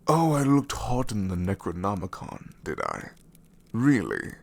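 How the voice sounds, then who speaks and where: Male VA: ancient shadow demon, sassy and grumbling, very low voice, lively intonation, close-mic ASMR. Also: unhurried, gravelly, exaggerated voice. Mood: exasperated sarcasm. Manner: sarcastic, incredulous, grumbling. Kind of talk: ASMR roleplay audio.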